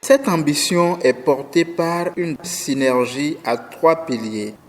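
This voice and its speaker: A man's voice: fairly high pitch, takes it slowly. A man with a Beninese French accent reading a scripted news report as its narrator. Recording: long hall-like reverb.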